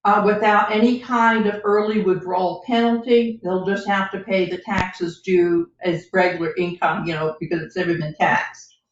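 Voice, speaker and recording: Roomy, distant-sounding woman explaining step by step. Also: medium pitch. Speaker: financial advisor guest on a podcast. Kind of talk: explanatory podcast talk. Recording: roomy, distant, dull lo-fi microphone.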